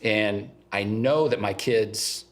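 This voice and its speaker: A man's voice: on the low side, slow. A middle-aged man from eastern Ohio with a slight Southern-tinged local accent, speaking plainly and earnestly as a guest in a casual interview. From eastern Ohio, USA. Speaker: guest, father of three.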